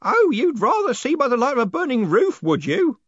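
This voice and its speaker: A man voicing an excitable old postman who speaks in a high, eager, pleading way. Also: moderate pace, husky, dry recording, highs cut off, heavily acted. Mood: eager, desperate.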